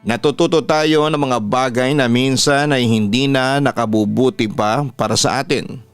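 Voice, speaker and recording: A man's voice: mid-range voice, steady tone, moderate pace, clear, resonant tone, no room sound. A man with a Filipino accent, a radio announcer reading a scripted first-person story as host. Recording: music playing behind the voice. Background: background music.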